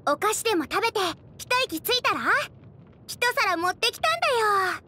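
Anime game girl, teenage cook, extremely high voice, cheerful, eager, bubbly, chatty, expressive. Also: brisk, exaggerated voice. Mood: casual, helpful. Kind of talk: animated anime game character acting.

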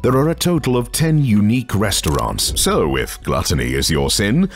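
A man narrating a scripted voice-over in a polished, even delivery. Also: on the low side, normal speed. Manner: polished voice-over with lively, rising and falling delivery.

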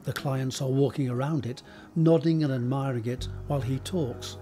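A man's voice: mid-range voice, steady tone, normal speed, mellow, soft background music. A man narrating stage directions for an audio drama in a scripted reading style.

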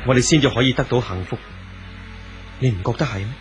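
An actor playing an earnest, brooding young lover in a 1970s radio drama, solemn and poetic, with a Hong Kong Cantonese accent. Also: male voice, medium pitch, fast, highs cut off, music in the background, lightly acted. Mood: tender, hopeful. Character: earnest young romantic man, idealistic and brooding.

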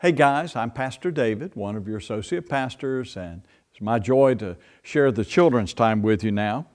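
A man's voice: medium pitch, expressive. A man in his sixties, a pastor, giving a playful children's sermon in a church sanctuary, filmed from a distance. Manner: playful, speaks slowly and gently to children. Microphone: far camera.

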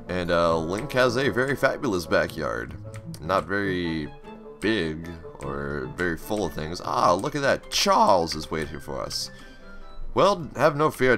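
A man hosting a casual game playthrough, chatty and upbeat, slightly raspy from screaming the day before. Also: low-pitched, a bit bright. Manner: chatty, reads on-screen text aloud, upbeat. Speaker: gaming channel host. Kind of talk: casual let's play commentary.

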